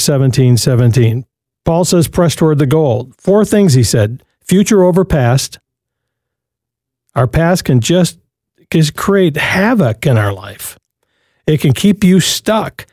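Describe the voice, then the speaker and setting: Male radio host, mellow dry studio voice, preachy and urging. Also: mid-range voice, dry recording. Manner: preachy, urging listeners to call.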